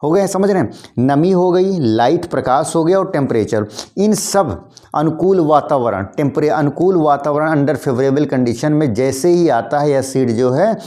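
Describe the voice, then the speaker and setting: Hoarse man teaching biology a cold. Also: a little high, animated, measured pace, dark tone. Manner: repetitive, addresses students as kids, frequent throat clearing. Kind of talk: classroom lesson addressing students.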